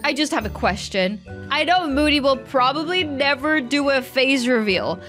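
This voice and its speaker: A young woman chats playfully as the host of a gaming video, reading comments and answering them with theatrical exaggeration. She is close to the microphone in a small room, and music plays clearly behind her. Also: sits high, lively intonation, normal speed, fairly bright tone. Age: young adult. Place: small indoor room set up for streaming. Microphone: close desk microphone with headphones.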